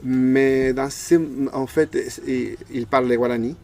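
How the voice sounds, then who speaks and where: A man's voice: medium pitch, little pitch movement, unhurried, darkish tone. An Argentine man in his thirties, a native Spanish speaker, talking casually in an interview and searching for words with a Spanish accent. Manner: hesitant, searching for words in a second language. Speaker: interview guest.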